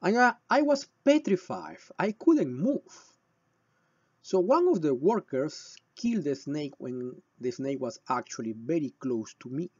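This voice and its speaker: Husky, slow-speaking Peruvian man. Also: a little high, sing-song, no echo, dull audio. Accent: Peruvian Spanish. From Peru. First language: Spanish. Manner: emphatic, short phrases with deliberate pauses. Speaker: shamanic healer presenting a video. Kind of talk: explanatory talk with personal storytelling.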